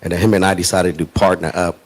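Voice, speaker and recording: A middle-aged man from Ghana speaking as a guest on a panel in front of a live audience, in a measured and reflective way. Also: low-pitched, flat delivery. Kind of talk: panel discussion.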